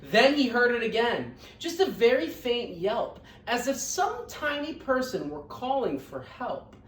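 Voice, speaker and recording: Animated woman reading a children's story. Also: a little high, unhurried. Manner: expressive, emphatic storytelling. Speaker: teacher reading aloud to pupils. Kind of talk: children's story read-aloud. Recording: roomy echo, distant microphone.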